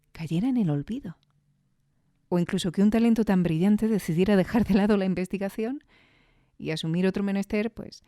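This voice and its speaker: A woman from Spain with a Spanish accent, talking reflectively and conversationally as a podcast host, pausing thoughtfully for emphasis. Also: mid-range voice, warm tone, dry recording, clean studio sound. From Granada, Spain.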